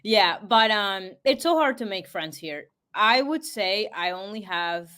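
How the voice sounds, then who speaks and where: A woman's voice: mid-range voice, animated, a little slow, pushed and bright, clear, resonant tone. A young woman from Peru chatting as a podcast guest, full of fillers like "you know" and "like". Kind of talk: casual interview chat.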